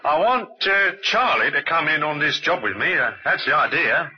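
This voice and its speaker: An actor playing a nervous, hesitant young would-be thief in an old-time radio crime drama. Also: male voice, a little high, expressive, normal speed, close to an everyday voice. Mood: submissive. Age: young adult. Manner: hesitant, jittery, eager to please. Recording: dull, very noisy vintage radio recording.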